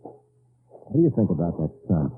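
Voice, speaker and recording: An actor playing a wry American secret agent who also narrates in an old-time radio drama. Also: male voice, low voice, very animated, measured pace. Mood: wry, low-key. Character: Frank, wry American secret agent behind enemy lines. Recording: tinny, noisy old radio recording.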